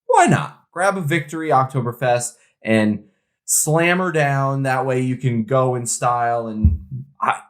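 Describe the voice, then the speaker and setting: A man's voice: mid-range voice, measured pace. A man co-hosting a podcast in casual chat, informal and jokey with exclamatory phrasing. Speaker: podcast co-host. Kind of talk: casual chat about beer.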